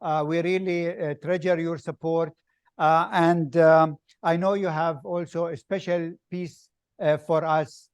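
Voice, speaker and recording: Guy hosting an interview, real slow, tons of uhs, dry close sound. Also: on the high side, little pitch movement, clear, resonant tone, no echo. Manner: slow, hesitant, many uhs. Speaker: host. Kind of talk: video call interview.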